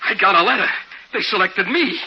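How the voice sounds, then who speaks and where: Husky, high-pitched eager everyman, old radio. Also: lively intonation, a little fast. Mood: excited, proud. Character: naive, eager, jittery working-class man craving culture. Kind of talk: 1950s radio comedy-drama acting. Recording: dull old radio recording.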